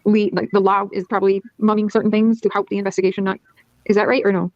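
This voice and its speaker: A woman's voice: mid-range voice, level tone, fast, a little mellow, dry recording. A woman chatting casually on a panel and asking questions, on dull lo-fi audio. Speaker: online panelist.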